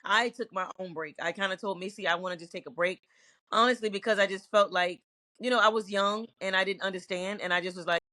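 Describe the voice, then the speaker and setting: A middle-aged woman from Virginia talks through her career in an earnest, reflective way, often circling back to restart a sentence. Her voice is bright and forward. The sound is dry and close. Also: mid-range voice, steady tone, quick pace, no room sound. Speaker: singer, interview guest. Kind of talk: candid interview answers.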